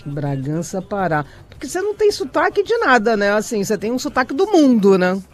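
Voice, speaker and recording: A woman's voice: medium pitch, big pitch swings, brisk. A woman interviewing in a chatty, informal way as a radio host. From Brazil. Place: radio studio.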